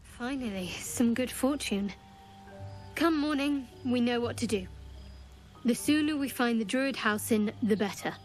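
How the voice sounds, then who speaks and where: Actress as sarcastic young adventurer woman, fantasy game, highish voice, faint music. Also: measured pace, lightly acted. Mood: wry, impatient.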